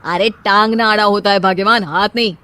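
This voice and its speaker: Wry young husband for animated family comedy, performed by a woman, teasing, medium pitch. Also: a little fast, natural voice. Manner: sarcastic, joking. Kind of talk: animated cartoon story dialogue.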